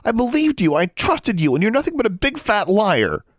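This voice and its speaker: A man's voice: mid-range voice, sing-song, quick pace, dry recording, studio quality, pitched above the performer's own voice. A man voicing a defiant, clever thirteen-year-old girl in an audiobook, insistent and indignant. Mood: angry, hurt.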